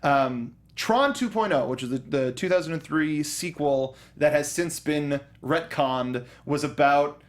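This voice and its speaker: A man's voice: on the high side, unhurried, few pauses. A man co-hosting a film review show, talking casually with a fan's enthusiasm. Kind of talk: casual chat and commentary.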